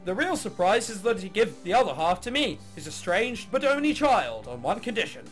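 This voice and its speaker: A man's voice: sits high, very animated, normal speed, a bit bright, faint music behind. A man voicing a jaded young woman, a reluctant orchestra heiress in a visual novel, with sarcastic, bored delivery. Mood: wry narration.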